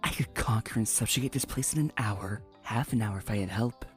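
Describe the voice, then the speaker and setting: A woman voicing a cool, domineering warrior mare in a cartoon comic dub, deadpan and dryly menacing. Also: rumbling low, fairly quick, soft background music. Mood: coolly scheming.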